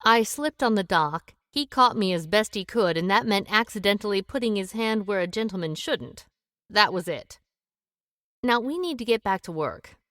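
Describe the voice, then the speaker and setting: An actress playing a proud, secretive businesswoman who speaks briskly and tartly in a radio play. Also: medium pitch, animated, a bit bright, no room sound, clean studio sound, close to an everyday voice. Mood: defensive. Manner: brisk, tart and defensive. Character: sharp, proud woman business owner, secretive. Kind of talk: radio drama acting.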